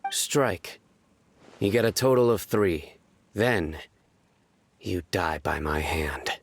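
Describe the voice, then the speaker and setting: Cold stern game warrior, really low voice, slow and deadpan, menacing. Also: male voice, slight background noise, heavily acted. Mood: cold threat. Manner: terse, deadpan. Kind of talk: video game voice acting.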